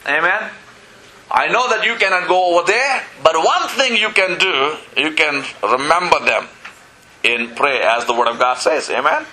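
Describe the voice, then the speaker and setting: Bright-voiced preacher man. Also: a little high, lively intonation, normal speed, rough recording. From Pakistan. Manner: earnest, peppered with "you know" and calls of "Amen?". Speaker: pastor. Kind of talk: sermon.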